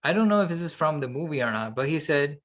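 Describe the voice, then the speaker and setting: A man co-hosting a podcast in casual, unscripted chat, halting as he thinks aloud. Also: a little high, lively intonation, a bit bright. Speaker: podcast co-host.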